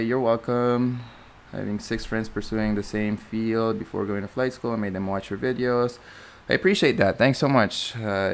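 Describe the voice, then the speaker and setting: A man's voice: on the low side, vocal fry, a little hiss or hum. A young man with a Filipino accent, a flight instructor rambling through a live Q&A stream and often correcting himself. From Philippines. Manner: chatty, rambling, self-correcting with many fillers.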